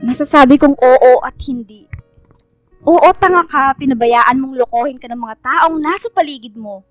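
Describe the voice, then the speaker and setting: Woman acting a high-voiced, blunt young best friend. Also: moderate pace, no room sound, faint music behind, lightly acted. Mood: tender but frank. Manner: blunt, emphatic. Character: frank, caring young woman confronting her best friend. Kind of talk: radio drama acting.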